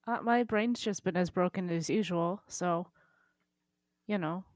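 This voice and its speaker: A woman co-hosting a casual podcast in a low-key, self-deprecating manner. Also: unhurried, no echo, studio quality. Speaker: podcast co-host.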